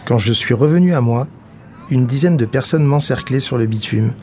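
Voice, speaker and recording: A man reading scripted first-person narration from a novel, at a middle pitch. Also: normal speed, dark tone. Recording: some background noise and ambience.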